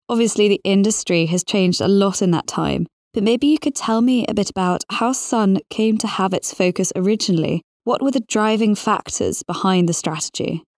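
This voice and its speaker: A woman's voice: lowish voice, darkish tone, no echo. Female podcast host, dry close sound. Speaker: podcast host, financial reporter. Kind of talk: scripted podcast introduction and interview questions.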